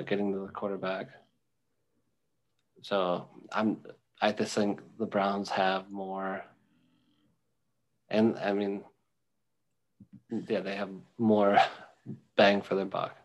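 A young man co-hosting a podcast, chatting casually about football in a rambling, halting way with many ums and restarts. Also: lowish voice, level tone, low-quality recording. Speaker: podcast co-host. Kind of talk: casual sports chat.

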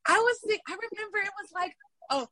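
A woman fitness instructor telling a story in casual chat, animated and laughing often. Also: very high-pitched, fast, dry recording, clean studio sound.

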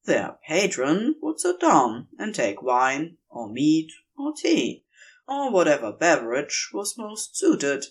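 An unhurried storyteller of androgynous pitch reads scripted fiction aloud. The delivery is expressive and highly melodic, rising and falling widely, with deliberate pauses between phrases. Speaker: podfic reader. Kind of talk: scripted narration, reading fan fiction aloud.

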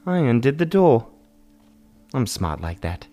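A man's voice: medium pitch, sing-song, measured pace. A man playing a devoted teenage younger brother in a melodramatic audio drama, earnest, emphatic and pleading. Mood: tentative concern. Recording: voice under loud music. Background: music.

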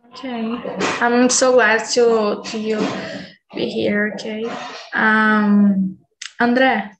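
A woman's voice: a little high, level tone, slow, fairly bright tone, rough recording. A nineteen-year-old woman from Brazil with a Brazilian accent, hosting an English conversation group over a video call, hesitant with many ums. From Bahia, Brazil. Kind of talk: casual online English practice chat.

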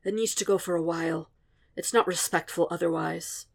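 A drained young man who speaks in a hushed, hoarse rasp, as a woman reading the story puts him on. His voice sits a touch low and stays soft and tired. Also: fairly even intonation, normal speed. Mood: weary. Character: exhausted hoarse young male firebender.

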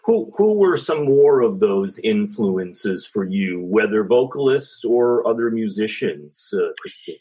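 A man hosts the interview with a somewhat low, husky voice. He asks questions and answers with quick, encouraging affirmations. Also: sing-song, dark tone. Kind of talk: casual interview conversation.

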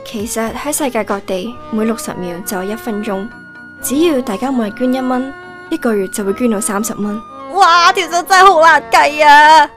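Woman playing a high-pitched, excitable Hong Kong girl. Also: moderate pace. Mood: playful presenting. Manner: excitable, exclamatory. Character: bubbly, bossy young daughter in a gaming family. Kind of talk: comic audio drama acting. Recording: music behind the voice.

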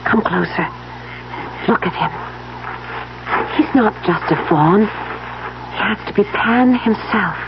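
An actress playing a grieving young wife, wistful and devoted, in 1970s radio drama style. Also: female voice, mid-range voice, takes it slowly, pauses often. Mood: reverent, sad. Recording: dull, noisy 1970s radio recording.

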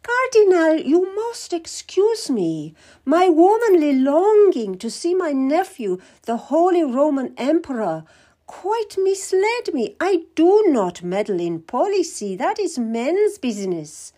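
Lady reading as a sly queen acting all meek and sweet, high and sing-songy, slow. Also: big pitch swings, mellow, heavily acted. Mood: sweetly guileful. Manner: lilting, sweetly deferential, slow and measured. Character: regal queen, shrewd, feigning meekness. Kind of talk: audiobook character voice.

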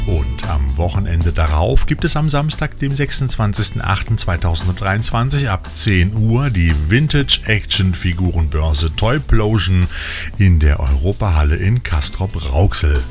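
Male host, low voice, reading scripted magazine segments with chatty fan enthusiasm, light music underneath. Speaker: podcast host. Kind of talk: scripted newsreel-style magazine narration. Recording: music playing behind the voice. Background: music bed.

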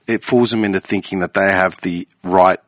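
A man's voice: deep voice, moderate pace, no room sound. A man, talking casually and emphatically with a lot of swearing. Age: late 30s. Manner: animated, profane, emphatic. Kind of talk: casual podcast chat.

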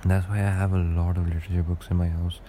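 A young man with a deep voice, rambling through a casual solo podcast monologue with lots of hesitations. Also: flat delivery, fast talker, dark tone, slight background noise. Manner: rambling and hesitant, many fillers and restarts. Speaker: podcast host.

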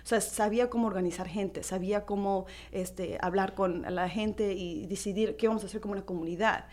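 A woman's voice: medium pitch, fairly even intonation, a little fast, darkish tone. A young woman from Chicago with a Mexican-American accent, speaking as a radio interview guest in a hesitant manner with many fillers and English words mixed in. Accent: Chicago Mexican-American Spanish. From Chicago, USA. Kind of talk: conversational interview.

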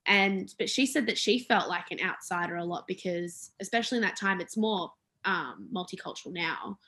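A woman's voice: on the low side, normal speed, pushed and bright. A young woman talking casually about her family's history, hesitant with many fillers and restarts. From Australia. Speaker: interviewee.

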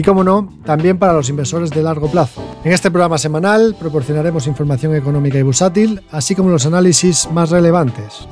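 Guy hosting a markets podcast, formal and measured, warm low tone, dry mic w/ soft music under. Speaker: podcast host. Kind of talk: scripted intro, then interviewing.

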